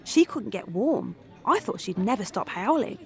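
A woman's voice: medium pitch, big pitch swings, moderate pace, creaky, soft background music. A woman playing a brash, tough young woman who narrates, blunt and coarse-tongued. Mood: bitter. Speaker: narrator and character. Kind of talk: radio drama acting and narration.

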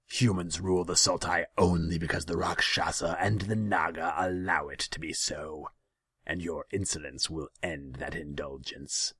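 A man voicing a scornful, imperious Rakshasa demon in a fantasy story, drawing words out slowly and contemptuously. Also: low-pitched, fairly even intonation, a bit bright, slightly rough, no room sound, clean studio sound. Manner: slow, drawn-out, contemptuous.

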